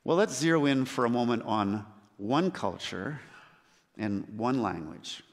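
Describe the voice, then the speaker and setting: A man preaching a sermon as a missionary, earnest, with measured and emphatic pauses. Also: mid-range voice, animated. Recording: long hall reverb.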